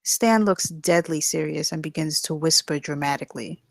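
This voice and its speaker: A woman's voice: sits low, normal speed, creaky, no echo. A woman narrating the stage directions of a comedy screenplay at a table read.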